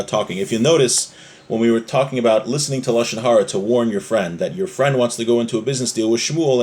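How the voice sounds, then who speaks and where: A man's voice: mid-range voice, a little mellow. A man giving an explanatory religious lesson, reading from a text and rephrasing each point conversationally, with rhetorical questions. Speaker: religious teacher.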